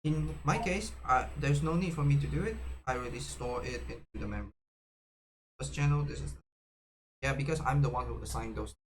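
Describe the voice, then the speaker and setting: A man giving a casual, unscripted how-to demonstration, speaking haltingly with frequent fillers and self-corrections. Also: medium pitch, slight background noise, rough recording. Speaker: tutorial presenter.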